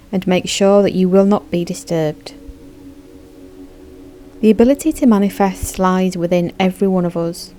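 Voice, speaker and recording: A woman with a dark, mellow voice leads a guided meditation. She speaks in a soothing, measured way, giving gentle instructions at a medium pitch. Music plays clearly behind her voice. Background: background music.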